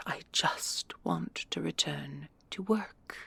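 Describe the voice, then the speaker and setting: A woman acts out a proud female sci-fi commandant, earnest and anxious under pressure. Her slightly low voice is husky and creaky, her speech formal, deferential and halting, in the manner of an audio drama. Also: sing-song, pushed and bright, no room sound, in a whisper, full character voice. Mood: proud, protesting. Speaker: voice actress and creator. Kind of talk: audio roleplay acting.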